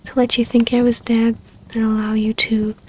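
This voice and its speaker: Woman acting a soft, high-voiced, yearning vampire baroness. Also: level tone, moderate pace, a little hiss or hum, lightly acted, poor audio. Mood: wistful, confessional. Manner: soft, breathy, often dropping to a whisper. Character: tender, yearning vampire baroness, long-lost lover. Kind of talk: intimate ASMR roleplay acting.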